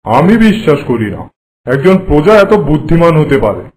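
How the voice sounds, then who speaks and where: A man playing a boastful, haughty king in an animated folk tale, speaking in a pompous, commanding way. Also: a little high, brisk, poor audio. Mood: indignant. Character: boastful, haughty king who prides himself on trickery.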